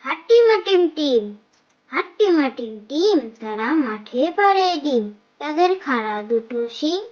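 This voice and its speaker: A performer voicing a young child who leads cartoon nursery rhymes in a measured, repetitive sing-song. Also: sits high, sing-song, highs cut off.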